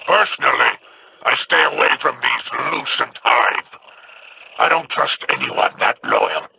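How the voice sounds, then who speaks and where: An actor voicing a sly, scheming male crime boss with a smooth, sardonic drawl, in video game dialogue. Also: mid-range voice, measured pace, sharp tone, exaggerated voice.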